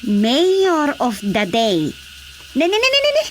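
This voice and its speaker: A woman's voice: high voice, wildly swooping pitch, slow pace, full character voice. A woman playing a fond, teasing Filipino daughter in a comedic radio drama, with playful, exclamatory delivery. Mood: admiring, playful. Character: fond, teasing daughter of an older village chairman. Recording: faint background noise.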